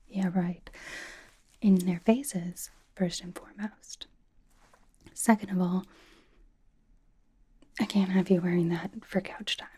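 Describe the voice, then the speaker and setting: A woman playing a sassy, vain young K-pop star doting on a fan in an ASMR roleplay, in a breathy whisper with teasing, deadpan delivery. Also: lowish voice, slow pace, long pauses. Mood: fond, bragging.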